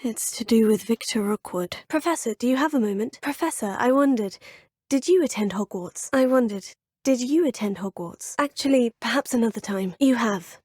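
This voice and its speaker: A woman's voice: on the high side, expressive, normal speed, darkish tone, breathy edge, no room sound. An actress voicing a polite, composed young female student witch with a British accent, speaking game dialogue close to a studio mic. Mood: earnest. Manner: polite, proper and well-spoken. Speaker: player character, student. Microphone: close studio mic, dry.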